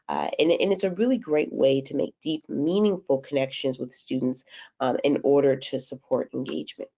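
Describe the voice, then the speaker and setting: A woman's voice: low voice, creaky, mellow. A woman in her thirties with a stuffy nose from a cold, speaking articulately as a guest educator on a video call. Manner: articulate, measured, with fillers. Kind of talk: webinar interview.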